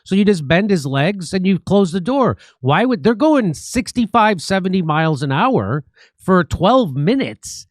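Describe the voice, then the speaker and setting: Higher-voiced man hosting a talk show. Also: big pitch swings, measured pace, no room sound, studio quality. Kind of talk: casual talk, commentary and questions.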